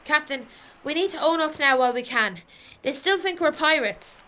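Worried, principled ship's lieutenant in a comedy radio play; high-pitched, crisp bright tone. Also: female voice, expressive, moderate pace. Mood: exasperated. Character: anxious, principled female naval lieutenant on a research ship. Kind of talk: scripted comedy audio drama.

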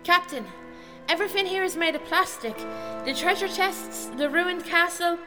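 An actress playing an anxious, principled naval lieutenant in a comedy audio drama. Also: female voice, extremely high pitch, moderate pace, over music. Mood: uneasy.

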